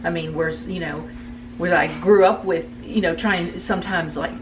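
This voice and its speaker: A woman talking casually with her son, halting and repeating words as she searches for them. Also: lowish voice, fairly even intonation, recorded from a distance, background noise. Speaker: guest, the host's mother. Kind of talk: casual family conversation.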